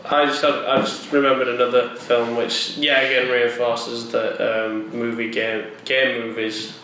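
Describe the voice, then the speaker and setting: A man's voice: mid-range voice, little pitch movement, a little slow, bright, forceful tone. A man in his twenties, chatting casually about films in a hesitant, questioning way. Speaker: co-host. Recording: echoey room, distant mic, faint noise.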